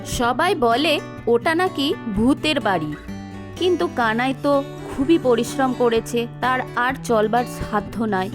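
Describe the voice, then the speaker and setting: A woman narrating a children's folk tale in an expressive, dramatic storytelling manner. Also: a little high, a little slow, over music.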